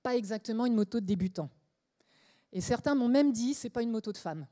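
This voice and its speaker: A woman's voice: on the high side, expressive, fairly quick, warm tone. A woman speaking at a conference, giving a short inspirational talk that builds to emphatic questions. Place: conference stage.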